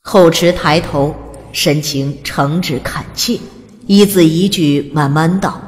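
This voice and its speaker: A woman narrating a fantasy audiobook in an even, storytelling delivery. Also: low voice, slow pace. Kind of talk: scripted narration. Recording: long reverb.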